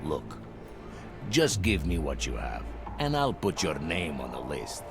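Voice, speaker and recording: Male shady game fixer, very low voice, curt, blunt and money-minded, music underneath. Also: big pitch swings, unhurried, full character voice. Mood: grudging. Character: surly, mercenary male permit racketeer. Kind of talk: video game dialogue acting.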